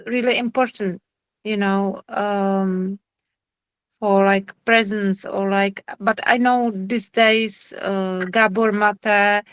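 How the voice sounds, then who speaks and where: A woman with a Czech accent, a trauma therapist speaking on a video call, pausing often and searching for words. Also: medium pitch, steady tone, fairly bright tone, clear, resonant tone, no echo. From Czech Republic. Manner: halting, searching for words, many fillers. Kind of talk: online lecture Q&A.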